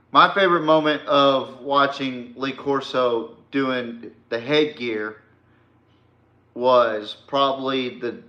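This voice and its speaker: A male podcast host with a bright, forceful voice at an ordinary male pitch. He takes his time, delivering casual, emphatic sports opinions to a live stream with the odd swear word.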